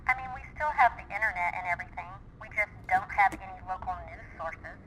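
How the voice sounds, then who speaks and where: A woman acting as an earnest but wary small-town local, speaking in a conversational way on a phone call. Her pitch is average and the recording is clearly noisy. It sounds like an interview in an audio drama. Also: fast talker, a bit bright, lightly acted.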